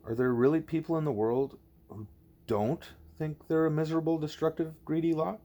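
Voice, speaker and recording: A man's voice: a little high, fairly even intonation, moderate pace, warm tone, pitched above the performer's own voice, lightly acted. Man reading as a curious, questioning schoolgirl. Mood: curious, challenging. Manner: questioning, informal. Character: curious, outspoken young schoolgirl pupil Julie, questioning.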